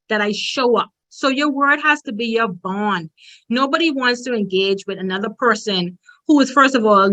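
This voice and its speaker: A woman's voice: on the high side, bright, forceful tone, low-quality recording. A woman from the Bahamas with a strong Bahamian accent, giving a direct, emphatic motivational presentation as a conference speaker.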